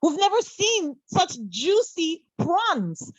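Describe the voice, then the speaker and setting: A woman's voice: very high-pitched, very animated, slow pace, no echo, low-quality recording. A woman from Cameroon speaking on a video call panel, impassioned, full of fillers, restarts and rhetorical questions.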